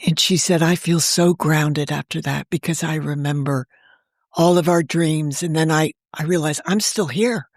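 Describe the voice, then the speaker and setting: A woman being interviewed on a podcast, telling a personal story in a warm, fond and gently reflective way. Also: deep voice, moderate pace, dry recording, studio quality. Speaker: guest, novelist and podcast creator. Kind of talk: conversational storytelling.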